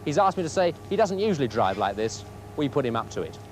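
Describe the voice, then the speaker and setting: British male film presenter, lively intonation. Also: medium pitch, fast talker, a little hiss or hum. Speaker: presenter and interviewer. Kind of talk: scripted training film narration and interviewing.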